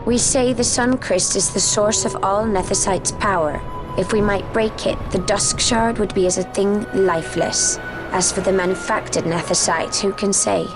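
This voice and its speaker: A woman as a calm, aloof otherworldly warrior. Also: medium pitch, natural voice, low-quality recording. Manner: measured, formal speech. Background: game score music.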